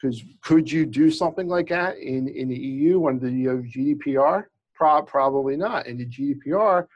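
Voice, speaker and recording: A man's voice: mid-range voice, a little fast, highs cut off. A man with a slight stutter, a lawyer explaining cases in casual interview talk with frequent restarts and fillers. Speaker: employment lawyer, guest. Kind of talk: conversational expert explanation.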